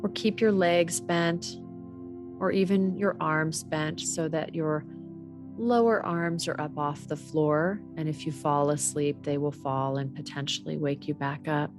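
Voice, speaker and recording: Slow, low-pitched woman guiding meditation. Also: steady tone, over music. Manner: measured, instructional, gently guiding. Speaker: meditation teacher. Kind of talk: guided body scan meditation.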